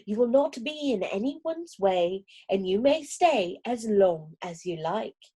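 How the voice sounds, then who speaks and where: Woman voicing a sly polite fox gentleman. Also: medium pitch, animated, slow, slightly rough. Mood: coaxing. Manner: polite, coaxing. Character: smooth-talking sly fox gentleman, male. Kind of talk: storybook reading.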